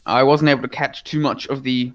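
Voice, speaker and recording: A man's voice: mid-range voice, steady tone, brisk, fairly bright tone. Aussie guy casting a match live, chatty and upbeat, headset mic. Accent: Australian. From Australia. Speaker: esports caster. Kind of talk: live game commentary.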